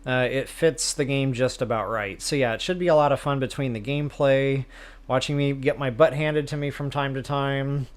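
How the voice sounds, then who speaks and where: A man's voice: medium pitch, steady tone, normal speed. A man hosting a game livestream, chatting casually with viewers in a self-deprecating way. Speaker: live stream host, gamer.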